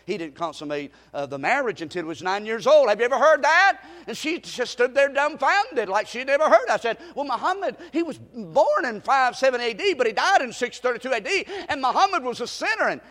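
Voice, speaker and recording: A man preaching a sermon and telling a story, with stammering restarts, swinging from hushed to shouting. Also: very high voice. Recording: reverberant hall.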